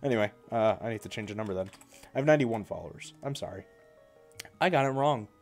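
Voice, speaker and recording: A man's voice: lowish voice, expressive, quick pace, faint music behind. A young man chatting casually on a live stream, a bit congested from a cold, rambling and correcting himself as he goes.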